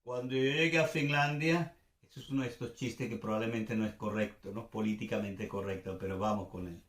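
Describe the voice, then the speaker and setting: A man's voice: fairly low pitch, fairly even intonation, a little fast, fairly bright tone. Older Venezuelan man, echoey room. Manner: hesitant, searching for words, repeats phrases for emphasis. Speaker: commentator and host. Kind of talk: informal political commentary, spoken off the cuff.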